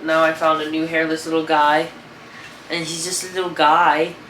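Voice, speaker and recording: A man's voice: a little high, monotone, normal speed, pushed and bright, slight background noise. A congested young man rambling casually and swearing as he shows off his rat shed to viewers. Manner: rambling, profane, chatty with viewers. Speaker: reptile and rat breeder vlogger. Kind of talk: casual show-and-tell vlog. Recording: echoey shed room.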